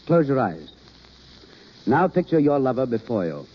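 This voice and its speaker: A man's voice: mid-range voice, very animated, moderate pace, husky. An actor playing an earnest, reserved male drama coach, formal and hesitant, then fervent, in vintage radio drama style. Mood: earnest, instructive. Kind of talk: 1930s-40s radio drama acting. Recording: dull old radio broadcast recording.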